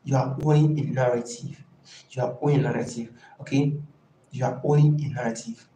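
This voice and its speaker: A man coaching a live online class in an informal, halting and deliberate way, stressing key points by repeating them. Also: medium pitch, fairly even intonation, warm tone. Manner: emphatic, repeats key phrases. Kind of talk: informal live teaching talk. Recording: echoey room, faint background noise.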